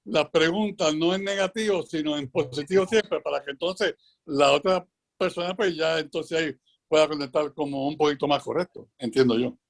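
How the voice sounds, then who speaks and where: A man with a Puerto Rican accent and a notably high voice asks a question on a video call. He works his point out slowly and carefully, leaning on little fillers as he thinks. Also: gravelly. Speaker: club member asking a question.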